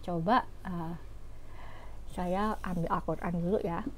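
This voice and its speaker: A woman giving an informal religious talk, explaining scripture in an animated way with fillers and rhetorical questions. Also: on the low side, slow, slight background noise. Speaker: religious teacher.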